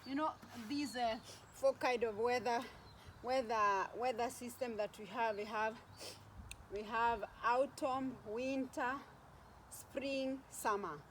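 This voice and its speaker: A woman's voice: fairly high pitch, steady tone, slow, slight background noise. A woman from Kenya with a Kenyan accent chatting casually in a rambling vlog, repeating phrases for emphasis. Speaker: vlogger.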